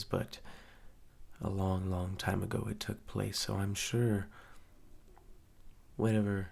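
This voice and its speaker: A man playing a courteous but ominous clerk at a haunted hotel, speaking softly and slowly in an ASMR roleplay. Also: exaggerated voice. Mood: quietly ominous. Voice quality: soft-spoken, low, gravelly. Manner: slow, measured, hushed, politely eerie.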